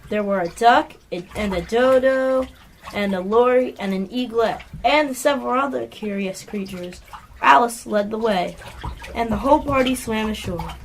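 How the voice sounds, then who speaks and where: A woman's voice: fairly high pitch, moderate pace. A female narrator reading scripted storybook narration in an amateur radio play. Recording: faintly noisy amateur recording.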